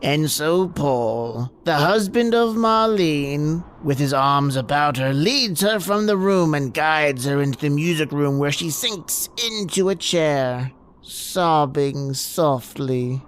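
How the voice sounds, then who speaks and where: A woman playing a sinister old hermit who narrates radio horror with teasing, drawn-out questions. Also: low voice, expressive, a little hiss or hum. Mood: ominous. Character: sinister old hermit storyteller, gloating over terror.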